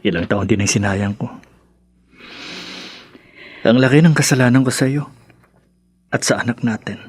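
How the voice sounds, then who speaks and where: A man's voice: mid-range voice, normal speed, slightly rough, dry recording, close to an everyday voice. An actor playing a loving, remorseful husband and father in a Filipino radio drama, speaking earnestly and emotionally with a Filipino accent.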